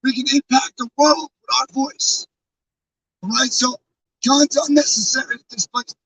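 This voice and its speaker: A hoarse man losing his voice, talking casually and earnestly to his live-stream chat, rambling with fillers and restarts. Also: very high-pitched, fairly even intonation, moderate pace, a bit bright, recorded from a distance, rough recording. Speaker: live streamer with a truck convoy. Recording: off-mic with faint background noise.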